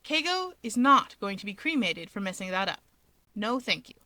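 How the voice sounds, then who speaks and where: A woman reads a story aloud with a bright, forward voice. Her narration is expressive and conversational with a wry edge, recorded very dry with no room sound. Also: mid-range voice, normal speed, no echo. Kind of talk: expressive narration of fan fiction.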